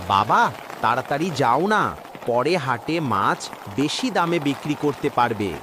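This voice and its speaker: A man's voice: medium pitch, sing-song, measured pace, noisy background. A man playing an eager young fisherman's son in a children's cartoon story.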